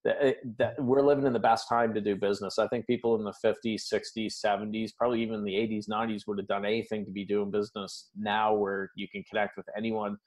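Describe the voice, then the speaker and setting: A middle-aged man with a Canadian Maritime accent talks in a conversational, upbeat way, dropping folksy sayings. His voice sits a little low. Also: little pitch movement, quick pace, hardly any pauses, a little mellow. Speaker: business coach, guest. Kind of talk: casual interview answers.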